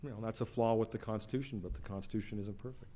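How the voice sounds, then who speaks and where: A man's voice: fairly low pitch, steady tone, rapid-fire, mellow. A middle-aged man lecturing on law answers questions from the floor in a lecture hall. He talks in a loose, chatty way and keeps checking in with the audience as he goes. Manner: conversational, frequent "right?" and "you know".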